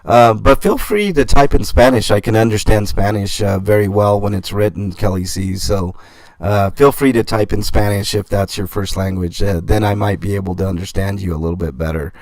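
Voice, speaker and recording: A man hosting a casual livestream, reading viewer comments and answering in a halting way with many fillers and restarts. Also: fairly low pitch, darkish tone, no echo.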